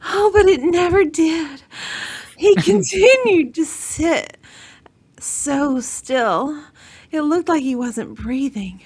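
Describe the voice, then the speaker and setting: A woman reading a novel aloud in a drawn-out, melodramatic way. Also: high-pitched, animated, a little mellow. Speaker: story reader.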